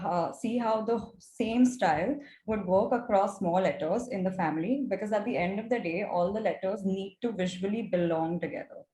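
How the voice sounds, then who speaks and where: A young woman with an Indian accent and Gujarati as her mother tongue presents prepared material. Her voice sits at an average pitch. The recording is roomy and echoey, with some background noise. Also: darkish tone. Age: young adult. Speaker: mentorship student presenting her project.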